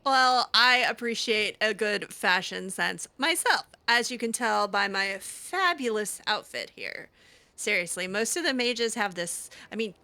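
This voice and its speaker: Bright, very animated woman gamer. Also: medium pitch. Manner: chatty, hesitant, effusive. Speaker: video game player and host. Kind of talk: in-character improvised roleplay commentary.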